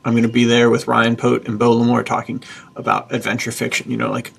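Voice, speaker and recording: Male podcast host, heavy vocal fry, fairly level pitch, informal and enthusiastic, emphatic conversational delivery. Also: completely flat delivery, fast. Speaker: podcast host, author and publisher. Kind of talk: casual podcast chat.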